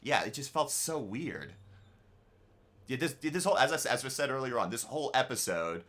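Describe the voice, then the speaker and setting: A man's voice: medium pitch, big pitch swings, brisk, a bit bright. A man co-hosting a casual reaction discussion, rambling and stammering with lots of filler "like". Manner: rambling, stammering, heavy use of "like". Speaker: co-host of a TV review show.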